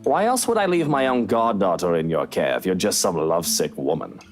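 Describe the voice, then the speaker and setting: Woman acting gruff guarded man, curt, sardonic, lively pitch swings, visual novel game, faint music. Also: a little fast. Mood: gruff, sincere. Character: gruff, guarded man, irritable but secretly caring. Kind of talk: visual novel character dialogue. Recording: music playing behind the voice.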